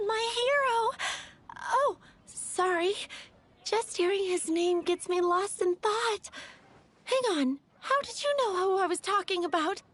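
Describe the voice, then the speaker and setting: A dreamy, lovestruck young flower girl. She speaks very high and lingers slowly over her words, soft music behind her. She sounds flustered and far away in her own thoughts. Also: expressive, exaggerated voice. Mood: lovesick. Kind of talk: video game voice acting.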